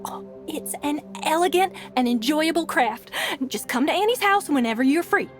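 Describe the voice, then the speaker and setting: An actress voicing a kindly, fussy middle-aged auntie who dotes on the young. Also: female voice, high voice, animated, normal speed, faint music behind. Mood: doting. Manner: doting, fussy.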